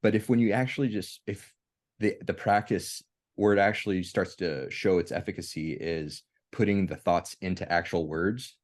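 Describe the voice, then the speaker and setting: A man hosting a casual, reflective group discussion, speaking haltingly and often circling back to rephrase himself. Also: sits low. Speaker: host of a veterans' discussion group.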